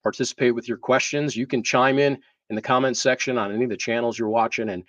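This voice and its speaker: A man hosting a live talk show, speaking in a hesitant way with drawn-out pauses. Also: medium pitch, fairly even intonation, a bit bright. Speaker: show host. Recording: dull, roomy, distant microphone.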